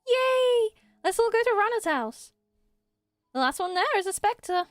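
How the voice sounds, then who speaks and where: A woman voicing excitable, boastful small village children in a game, eager and chirpy. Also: extremely high pitch, measured pace, no room sound, soft background music, voice pushed up, heavily acted. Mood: excited.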